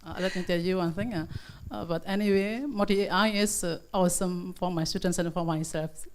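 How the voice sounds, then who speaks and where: A woman with an Indonesian accent, a teacher asking a seminar question in halting, repetitive English. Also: fairly low pitch, fairly even intonation, a little hiss or hum.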